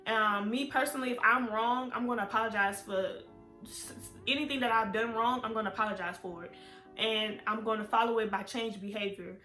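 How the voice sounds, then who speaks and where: Woman in her twenties, candid vlog chat, slightly high voice, faint music behind. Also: steady tone, brisk, sharp tone. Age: 20s. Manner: candid, chatty, talks straight to viewers. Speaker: vlogger. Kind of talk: casual storytime vlog. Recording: echoey room with faint music.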